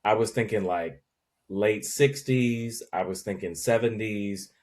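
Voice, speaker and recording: A man hosting a casual interview, relaxed and conversational, reacting with short affirmations. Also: on the low side, unhurried. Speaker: host.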